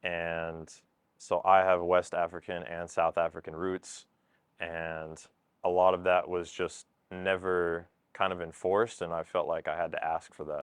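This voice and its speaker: Deep, flat-voiced young man. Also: unhurried, clean tone, dry recording. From California. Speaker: student interviewee. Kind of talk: interview answer.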